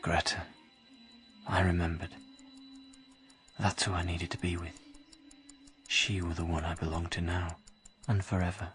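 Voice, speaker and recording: A man's voice: sits low, flat delivery, unhurried, pauses often, a bit bright, soft background music. An actor playing a moody young working-class drifter who narrates and lives out his story in a radio drama. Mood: haunted, reflective.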